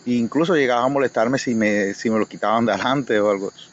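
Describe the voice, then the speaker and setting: A man's voice: mid-range voice, quick pace. Cuban man in dull, lo-fi audio. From Cuba. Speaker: guest reader. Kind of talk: personal recollection in casual conversation. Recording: dull lo-fi audio, faint noise, insects.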